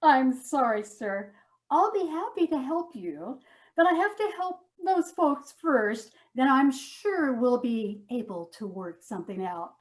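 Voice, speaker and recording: An actress playing a polite, unflappable airline gate agent in a comedy sketch, courteous and deadpan. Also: female voice, high voice, unhurried. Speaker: amateur stage actor.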